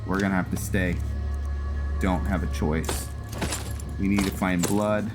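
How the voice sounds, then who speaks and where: Low, unhurried man commentating on games. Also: steady tone. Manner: thinking aloud, narrating decisions. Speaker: gaming video host. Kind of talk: roleplay game commentary, casual. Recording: game music behind the voice. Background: video game music.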